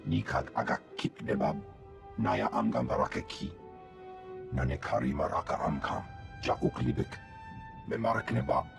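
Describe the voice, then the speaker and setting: Gravelly, guttural alien officer. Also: male voice, fairly low pitch, very animated, normal speed, long pauses, over music, heavily acted. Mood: gruff, urgent. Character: gruff male alien officer speaking an invented guttural language. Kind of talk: video game alien dialogue.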